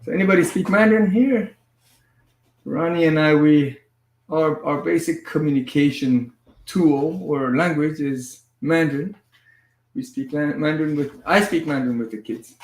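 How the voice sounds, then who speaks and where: A man hosting a casual family livestream from home, moving easily between languages with a relaxed, filler-heavy way of talking. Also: a little high, normal speed. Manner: switches between languages mid-sentence. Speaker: livestream host and father. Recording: echoey room sound.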